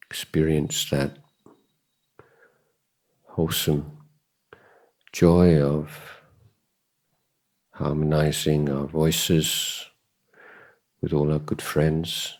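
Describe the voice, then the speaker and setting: A man giving a guided meditation talk as a Buddhist teacher, contemplative and measured, pausing often between short phrases. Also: rumbling low, little pitch movement, darkish tone.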